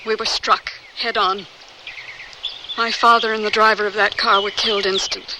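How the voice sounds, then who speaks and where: An actress playing a grave, anguished woman in love in a radio drama, halting and emotional. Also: medium pitch, little pitch movement, noisy background, lightly acted. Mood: grave, pained.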